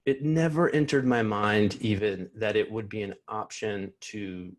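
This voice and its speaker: Slow, earnest man who stutters. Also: fairly low pitch, fairly even intonation. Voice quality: stutters, with repeated sounds and blocks. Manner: slow, earnest, reflective, often restarts his words. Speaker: keynote speaker. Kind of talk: personal talk at an online convention. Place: small home room, indoors. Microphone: earphone mic on a video call.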